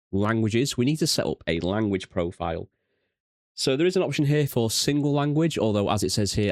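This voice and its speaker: A man with a British accent and a low-pitched voice guides viewers through a software setup. He is casual and self-deprecating, explaining as he goes. The sound is dry, with no room reflection. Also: dry recording. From United Kingdom. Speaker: tutorial host. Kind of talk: casual software walkthrough narration.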